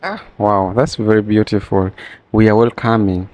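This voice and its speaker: A man's voice: lowish voice, moderate pace, a little mellow. A man with a Ugandan accent interviewing casually, switching between languages and repeating phrases as he forms his questions.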